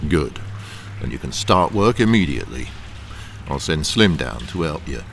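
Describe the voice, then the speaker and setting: Low, creaky, menacing crime boss played by a man. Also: very animated, moderate pace, a bit bright, a little hiss or hum, full character voice. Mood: commanding. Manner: smoothly threatening, commanding. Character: cold, menacing male criminal boss running a mine. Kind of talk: radio thriller acting.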